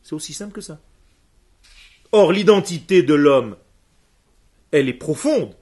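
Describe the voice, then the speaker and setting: A man's voice: a little high, lively intonation, a little mellow, husky. A man who grew up speaking Hebrew, teaching a class emphatically and firing questions at his students. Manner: emphatic, asks the room questions, answers students quickly. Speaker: religious teacher.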